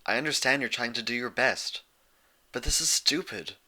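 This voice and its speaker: A man's voice: medium pitch, moderate pace, bright, forceful tone. A man voicing an earnest, exasperated young female unicorn pony in a storybook reading, insistent and pleading.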